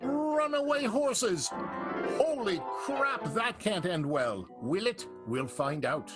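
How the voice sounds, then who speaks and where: A man puts on a melodramatic radio soap narrator, high-pitched for a man and very animated. Music plays clearly behind his excitable hype. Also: takes it slowly. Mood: excited, dramatic. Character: excitable melodramatic male serial announcer. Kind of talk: 1920s radio serial narration, improvised.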